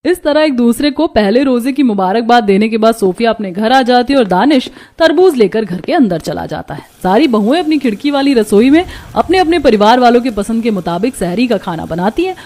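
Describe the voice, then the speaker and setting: A woman's voice: fairly high pitch, lively intonation, brisk, few pauses, mellow. A woman reading scripted narration for a cartoon story.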